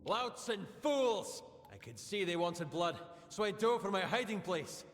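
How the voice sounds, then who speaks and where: A proud, excitable fantasy locksmith from a video game. His voice sits fairly high and swings widely as he speaks with flustered self-importance. It rings in a long reverb over music. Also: a little slow, a little hiss or hum. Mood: self-important. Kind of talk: video game character acting.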